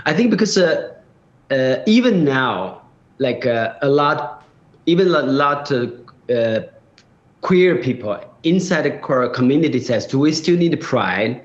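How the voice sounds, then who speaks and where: A man speaking on a video call from a room at home, halting, with many fillers and restarts. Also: medium pitch, lively intonation. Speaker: guest, webcast director. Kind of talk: remote video interview. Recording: roomy, dull video call audio.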